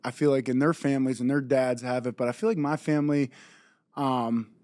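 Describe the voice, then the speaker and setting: A young man from the Pittsburgh area hosting a podcast, chatty and upbeat, quick to laugh. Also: medium pitch, fairly even intonation, a little mellow, no echo, clean studio sound. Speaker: podcast host. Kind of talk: casual podcast chat.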